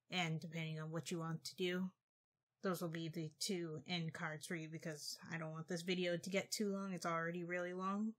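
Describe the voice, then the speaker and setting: A woman's voice: lowish voice, a bit bright. A woman commentating casually as she reacts to a story, in a chatty style.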